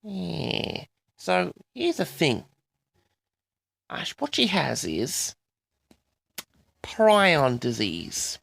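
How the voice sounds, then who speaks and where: Male solo audio-log host. Very gravelly, swinging intonation, halting monologue addressed to listeners, dry close sound. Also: on the high side, huge pitch swings, fairly bright tone, no room sound. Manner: halting, pausing often, repeatedly addresses the audience. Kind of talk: rambling solo monologue to an audience.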